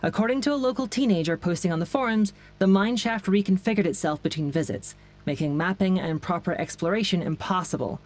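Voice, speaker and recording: An actor narrating an anomaly research file in a formal, measured, detached way, as scripted documentary narration. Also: mid-range voice, natural voice.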